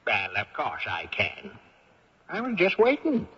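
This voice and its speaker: Casting: stubborn old great-grandfather ghost, self-satisfied and husky, swooping delivery, old radio drama. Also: fairly high pitch, huge pitch swings, unhurried, heavily acted. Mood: smug. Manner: sly, self-satisfied, old-fashioned phrasing. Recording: dull old radio recording, long reverb.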